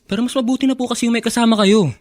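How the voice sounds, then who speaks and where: A man's voice: very high-pitched, steady tone, racing, a little mellow. A man playing a dutiful, worried young grandson in a radio drama, speaking respectfully and pleadingly.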